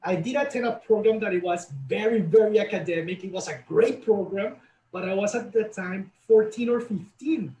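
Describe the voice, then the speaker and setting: A man's voice: high-pitched, warm tone, echoey room, slight background noise, poor audio. A man from Colombia with a Colombian Spanish accent, a college representative speaking eagerly in a webinar. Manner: friendly, eager, quick to agree. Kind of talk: webinar interview.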